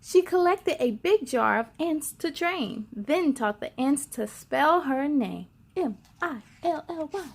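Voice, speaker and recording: A woman hosting a children's storytime, reading a picture book aloud in an excited, expressive, giggly way. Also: high voice, slow pace, gravelly, hardly any pauses.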